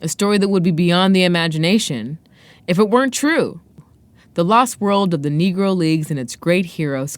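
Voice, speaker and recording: A woman's voice: low-pitched, no room sound. A woman hosting a podcast, moving between scripted narration and interview talk. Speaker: podcast host.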